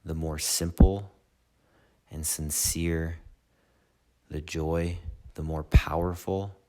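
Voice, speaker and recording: A man leading a guided meditation in a soothing, measured way, with long pauses between short phrases. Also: very deep voice, little pitch movement, warm tone. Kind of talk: slow guided meditation narration.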